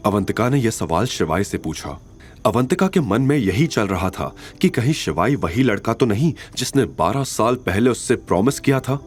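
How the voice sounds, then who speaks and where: A man's voice: lowish voice, big pitch swings, normal speed, faint music behind. A man delivering scripted, dramatic narration for an audio story series.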